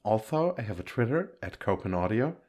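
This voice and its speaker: Rambling, giggly man with lowish voice. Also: big pitch swings, a little fast, darkish tone. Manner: rambling, giggly, self-correcting. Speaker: audio roleplay creator. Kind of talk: casual outro addressed to viewers.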